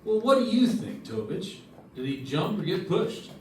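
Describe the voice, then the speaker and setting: A man's voice: high voice, very animated, moderate pace, a little hiss or hum. A man playing a dry, probing insurance investigator in an old-time radio detective drama, with wry, clipped questioning. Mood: businesslike. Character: dry, probing male insurance investigator narrating his case. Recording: roomy, distant stage recording with music.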